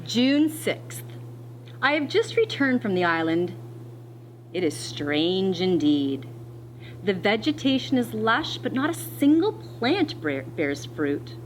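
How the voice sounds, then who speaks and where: A woman teacher reading a picture book aloud to children in an expressive, deliberate way, pausing to explain as she goes. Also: mid-range voice, slight background noise, poor audio.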